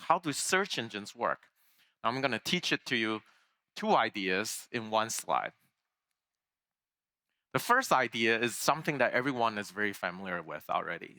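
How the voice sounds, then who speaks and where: A man's voice: medium pitch, pushed and bright. A man from Taiwan giving a conference keynote in a conversational way, with fillers and restarts. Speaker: keynote speaker. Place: large conference hall.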